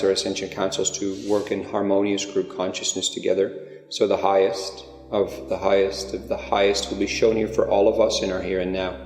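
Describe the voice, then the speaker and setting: A low male voice leads a guided meditation in deliberate, measured phrases, leaving long pauses between them. The sound is washed in echoey, hall-like reverb, with music playing behind him. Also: a little mellow. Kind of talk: guided meditation, spiritual channeling.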